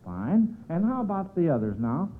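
A folksy American man hosts a vintage radio quiz, his voice fairly high and thinned by a tinny broadcast recording. He speaks encouragingly, with small hesitations, as he handles questions and sponsor reads. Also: sing-song, moderate pace. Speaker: quiz show host. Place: radio studio.

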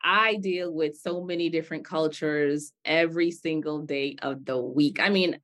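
Low-voiced woman from the Bahamas hosting. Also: normal speed, fairly bright tone. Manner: enthusiastic, exclaims and laughs freely. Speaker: podcast host. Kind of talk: conversational interview.

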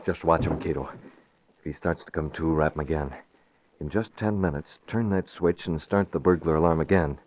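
An actor playing a resolute masked vigilante in 1940s radio adventure style, giving brisk, authoritative orders. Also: male voice, rumbling low. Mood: calm, commanding. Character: resolute masked male vigilante, cool and commanding. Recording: muffled, hissy old radio recording.

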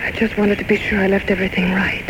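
A woman's voice: mid-range voice, flat delivery, fast, lightly acted. An actress playing a devoted, worried girlfriend who coaxes earnestly in an old-time radio drama. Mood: careful coaxing. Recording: noisy old radio recording.

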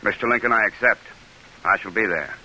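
A man's voice: on the low side, a little fast, pushed and bright, a little hiss or hum, low-quality recording. A man playing a proud, resolute American senator in old radio drama style, speaking in formal, emphatic oratory with an American accent. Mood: formal, guarded. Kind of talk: 1940s radio drama acting.

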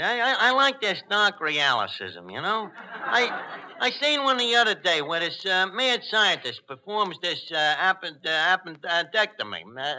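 An actor playing a brash, chummy, uneducated New York tavern manager in a 1940s radio comedy, with slangy, hesitant bluster and mangled words. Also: male voice, high voice, bright, forceful tone, exaggerated voice. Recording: noisy old 1940s radio broadcast recording.

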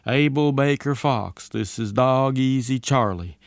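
A man voicing a weary, dutiful military radio operator who drones call signs in a chanting monotone, as in an audiobook story. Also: mid-range voice, measured pace, creaky, no room sound, studio quality, pitched above the performer's own voice.

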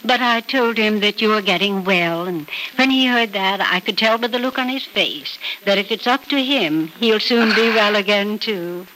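A woman's voice: medium pitch, normal speed, few pauses, bright, forceful tone, close to an everyday voice, low-quality recording. An actress playing a grave, caring older mother of a sick son in a 1940s radio soap. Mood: grave, reassuring. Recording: old radio recording with faint noise.

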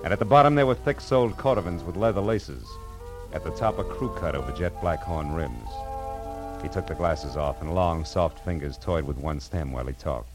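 An actor playing a wry, tough private detective, clipped and world-weary, in a 1940s radio drama. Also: male voice, sits low, lively intonation, moderate pace. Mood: wry, narrating. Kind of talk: 1940s radio drama acting and noir narration. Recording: vintage radio recording with music.

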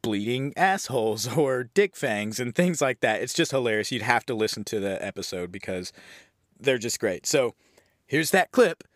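A man's voice: mid-range voice, lively intonation, normal speed, dry recording, studio quality. A man hosting a podcast in an informal, enthusiastic, casual chatting style. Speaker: podcast host. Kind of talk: casual solo podcast introduction. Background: faint music.

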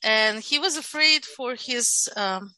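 A woman's voice: fairly high pitch, sharp tone, no room sound. A woman from Ukraine whose first language is Russian, explaining her views in a casual group discussion, with frequent restarts and fillers. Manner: halting, many restarts and fillers. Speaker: guest.

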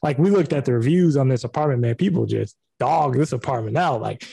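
A man's voice: medium pitch, brisk, vocal fry, warm tone, husky, no room sound. A man chatting casually on a podcast about rentals, laid-back and loose.

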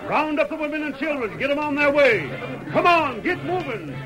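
A man's voice: mid-range voice, expressive, moderate pace, a crowd in the background, natural voice, poor audio. An actor playing a folksy, foreboding frontier townsman with drawling rustic speech, in old radio western style. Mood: alarmed, urgent. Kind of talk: 1940s radio western acting.

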